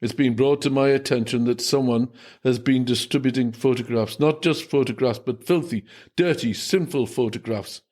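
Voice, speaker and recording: A man voicing a flustered, stern Irish priest who runs a boys' school, stammering and then shouting in rage. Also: medium pitch, moderate pace, lightly acted. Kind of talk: storybook reading.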